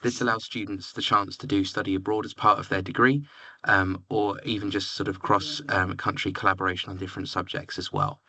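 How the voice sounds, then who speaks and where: A young Englishman with a London-area accent, presenting slides at a relaxed pace with plenty of "um"s and "sort of"s. His voice sits a little low but rings bright and forward, and the recording is dry and close with almost no room sound. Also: a little fast, slightly rough, no room sound. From England. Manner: relaxed, filler-heavy, says "sort of" often. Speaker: university representative. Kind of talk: presentation to education agents.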